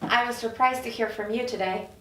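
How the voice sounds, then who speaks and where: A woman's voice: fairly high pitch, fairly even intonation, a little fast, pushed and bright. A woman playing a proud, sarcastic career woman who is easily offended, in a drama script table read. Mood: polite, guarded. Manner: sarcastic, incredulous. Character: proud, indignant career woman newly arrived in hell. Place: echoey room, recorded from off. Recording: roomy, distant mic, faint noise.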